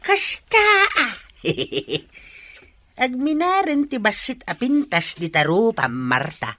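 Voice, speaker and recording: Man acting bright, swooping old Ilocano man. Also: very high-pitched, slow pace, heavily acted. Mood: teasing. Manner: animated, exclaiming delivery. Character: old Ilocano man, jovial, teasing, pious. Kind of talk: Ilocano radio drama acting.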